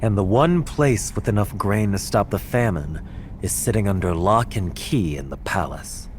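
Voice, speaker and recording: An actor playing a cunning, confident mastermind in a historical Chinese game drama, talking smoothly and persuasively. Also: male voice, on the low side, lively intonation, moderate pace, slight background noise, natural voice. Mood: grave. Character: cunning, persuasive man masterminding a palace heist.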